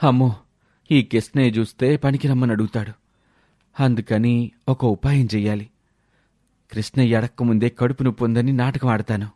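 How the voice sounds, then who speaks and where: A man's voice: mid-range voice, fairly even intonation, moderate pace, long pauses, mellow, dry recording, clean studio sound, natural voice. A man playing a lazy village husband who complains and wheedles his way out of things in a storybook tale. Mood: making excuses. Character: lazy, whining village husband full of excuses. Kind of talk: storybook character voice.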